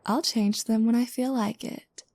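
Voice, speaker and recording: A woman playing a playful, teasing new girlfriend just waking up, speaking softly and intimately in a roleplay audio. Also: on the high side, steady tone, no room sound. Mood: mock bossy, teasing. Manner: soft, breathy asides, teasing, unhurried.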